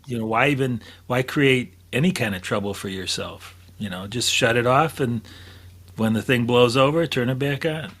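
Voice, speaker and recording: A man's voice: medium pitch, normal speed, fairly bright tone. A man on a podcast panel, talking casually and thinking aloud as he goes. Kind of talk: casual chat.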